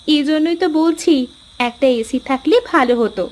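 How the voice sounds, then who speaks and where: A woman's voice: sits high, moderate pace, a little hiss or hum, lightly acted. A woman voicing an outspoken, well-off younger sister in a cartoon, pert and chiding. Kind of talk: cartoon dialogue acting.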